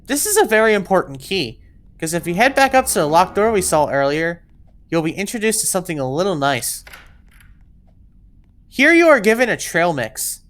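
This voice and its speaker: Lively low-pitched woman guiding gameplay. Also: normal speed. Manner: step-by-step, directive. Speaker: video game guide narrator. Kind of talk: instructional gameplay walkthrough voice-over.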